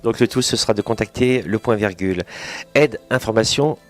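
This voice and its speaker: A man's voice: lowish voice, fairly quick, faint music behind. A man hosting a conversational radio interview, summing things up in short phrases, recorded in a small kitchen. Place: small kitchen of a community family centre.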